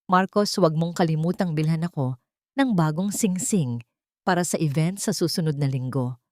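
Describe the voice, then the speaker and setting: A woman narrator plays a vain older rich mother-in-law, haughty and demanding. The Filipino-accented voice is lowish, in a dry audio story recording. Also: normal speed, darkish tone, no room sound, natural voice.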